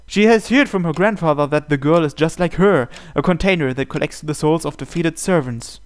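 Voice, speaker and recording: A man's voice: on the high side, brisk, clean tone. A man reading visual novel narration aloud in a dramatic way. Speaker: gaming channel host. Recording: game music behind the voice. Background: background music from the game.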